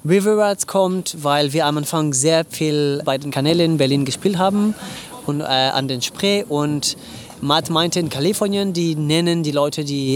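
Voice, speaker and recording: A Frenchman with a French accent, a band's violinist and singer, chatting in an interview, pausing often and searching for words. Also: fairly high pitch. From France. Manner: hesitant, many fillers, searching for words. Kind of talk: casual interview chat.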